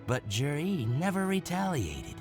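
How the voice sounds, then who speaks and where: A man playing an excitable, grasping boutique merchant in a video game, with a blustering, haggling manner. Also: a little high, measured pace, over music, heavily acted. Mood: gossipy, scheming.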